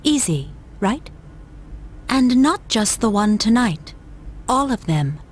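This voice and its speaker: A woman playing a cold, aloof young female antagonist in a video game, detached and deliberate in delivery. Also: on the high side, sing-song, noisy background. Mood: cold, commanding.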